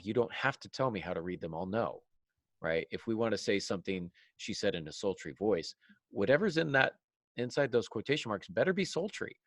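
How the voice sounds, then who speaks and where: A man's voice: low voice, no echo. A man talking conversationally as a podcast host about his craft, emphatic and wry, often stopping to correct himself. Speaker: podcast host, writer and writing teacher.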